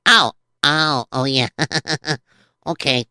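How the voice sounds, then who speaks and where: A goofy, interrupting sidekick who mishears everything and trips over his own words, as a man voices him for a children's story show. The voice is very bright and clean, recorded close and dry. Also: medium pitch, drawn-out words, clean tone, dry recording, full character voice. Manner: scatterbrained, stammering, giggly. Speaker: comic sidekick.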